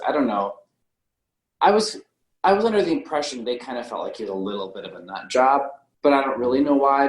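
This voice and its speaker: A man talking casually about a film as a podcast co-host, tentative and often qualifying what he says. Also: mid-range voice. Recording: roomy echo on the voice.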